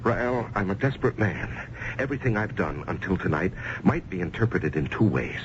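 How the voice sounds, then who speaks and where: Desperate man, muffled and noisy. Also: animated. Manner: halting, stammering, breathless urgency. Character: desperate, overwrought man who stole money, easily awed. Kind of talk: 1970s radio drama acting. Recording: muffled old radio recording, clearly noisy, rumble.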